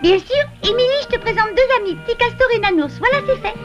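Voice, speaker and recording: A woman's voice: extremely high pitch, lively intonation, fast talker, over music. A woman voicing a sweet, kind little girl forest animal in a dubbed cartoon. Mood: friendly. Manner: kind and friendly. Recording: dull old VHS cartoon.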